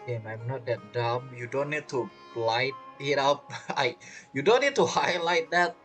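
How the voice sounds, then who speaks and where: A man's voice: mid-range voice, lively intonation, unhurried, a bit bright, soft background music. A man giving casual gameplay commentary as a streamer, rambling and playful, with non-native phrasing. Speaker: game streamer.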